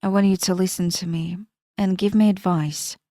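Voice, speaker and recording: A woman's voice: fairly low pitch, fairly even intonation, moderate pace, mellow, no echo, studio quality, lightly acted. A woman reading the lines of a polite, well-read married man in a storybook narration, with little change from her own voice. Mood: pleading.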